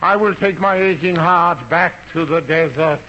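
An actor playing a lovestruck, flowery suitor, in 1940s radio sitcom style. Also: male voice, fairly high pitch, a little slow, bright, forceful tone. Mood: dismayed. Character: lovestruck, flowery, melodramatic Middle Eastern suitor. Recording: noisy, dull old radio broadcast recording.

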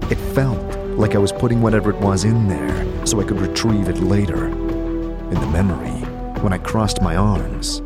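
A man playing a grave, brooding amnesiac undead wanderer reflecting in an audio drama monologue. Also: sits low.

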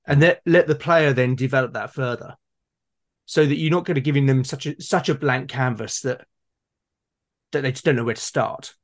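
A man with an average-pitched voice talks in a casual, discursive way. His delivery is halting, and he often repeats a word while he works out the idea. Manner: halting, repeats words while forming ideas. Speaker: conversation partner. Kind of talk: casual discussion of role-playing games.

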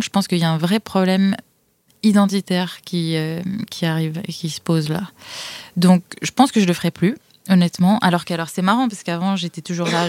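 A woman's voice: lowish voice, a little mellow, dry recording. Reflective French-speaking woman, dry sound. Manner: reflective, searching for words. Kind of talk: casual discussion.

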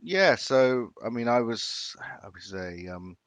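A man's voice: medium pitch, sing-song, takes it slowly, no echo. A man, talking casually in an interview, with many fillers and restarts. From Philippines, raised in Sydney. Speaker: chef.

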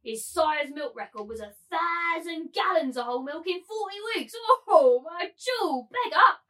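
A woman's voice: extremely high pitch, lively intonation, normal speed, a bit bright. A woman playing a cruel, domineering brothel keeper and auctioneer in a dramatised literary reading, commanding, taunting and theatrical. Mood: gleeful salesmanship.

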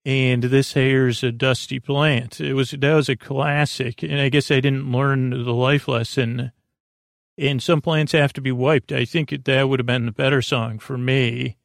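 Male sleep-podcast storyteller, mid-pitched with heavy fry and a dry sound; rambling, halting, mildly absurd, self-deprecating. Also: fairly even intonation, dry recording. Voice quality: creaky. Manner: halting, digressive, full of false starts and trailing tangents. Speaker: podcast host.

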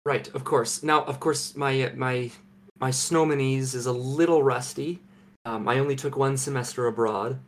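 A young Canadian man co-hosting a podcast from home, deadpan and mock-serious, with halting restarts. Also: mid-range voice. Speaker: podcast co-host. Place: home, remote recording.

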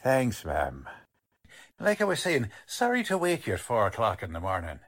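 An actor playing a plodding police sergeant who talks in folksy, ungrammatical street slang, in the style of a 1940s radio drama. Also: male voice, lowish voice, huge pitch swings, normal speed, background noise. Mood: apologetic. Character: blunt, plodding middle-aged male police sergeant.

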